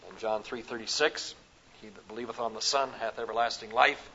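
A man from the American Midwest with a Midwestern accent, preaching a sermon at a conference, rising to loud emphatic peaks and dropping to soft, slow phrases. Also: lowish voice, fairly even intonation, a little hiss or hum. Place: conference hall. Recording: dull lo-fi recording.

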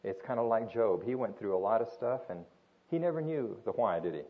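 Older male speaker raised in Japan; earnest, halting church talk; low-pitched, dull recording. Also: lively intonation. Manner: earnest, with frequent restarts and fillers. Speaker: guest speaker at a church gathering. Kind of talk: informal sermon and prayer.

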